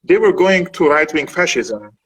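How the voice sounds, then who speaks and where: Fast-talking man with many fillers. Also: a little high, expressive, a bit bright, breathy edge. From Syria. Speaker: guest analyst. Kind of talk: interview answer.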